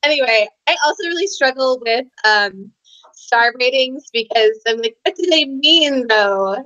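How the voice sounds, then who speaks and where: A woman with a fairly high voice talks casually about books as a co-host. She is tentative in how she puts things, and the call audio is slightly muffled. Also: lively intonation, unhurried, sharp tone. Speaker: co-host on a readalong live show. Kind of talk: casual book discussion.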